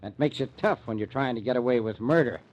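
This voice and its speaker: An actor playing a blunt, suspicious small-town American sheriff with folksy, ungrammatical speech in an old-time radio drama. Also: male voice, mid-range voice, fast, a little mellow, natural voice. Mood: interrogating, stern. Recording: dull, muffled old radio recording.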